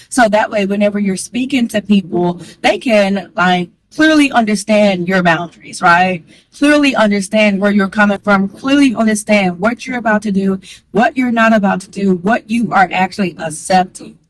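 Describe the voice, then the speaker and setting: A woman talking fast and candidly to the camera, chatty and frank, with a strong voice. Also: mid-range voice, no room sound, rough recording. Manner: chatty, candid, calls listener girl; fast talker. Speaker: vlogger. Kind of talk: casual talk to camera.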